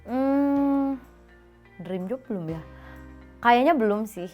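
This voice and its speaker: A woman's voice: fairly high pitch, lively intonation, slow pace, a little mellow, faint music behind. A woman in her mid-twenties who answers interview questions in a playful, casual way. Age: 20s. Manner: playful, laughs easily. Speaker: interviewee, social media officer.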